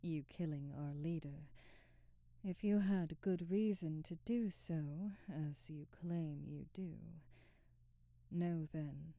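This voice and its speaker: A woman playing an elegant, domineering vampire noblewoman in an intimate ASMR roleplay, speaking softly and breathily in formal, measured phrases. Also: low-pitched, no room sound, studio quality. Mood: confiding, grave. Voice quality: soft, breathy, whispery. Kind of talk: intimate ASMR roleplay, slow whispery delivery.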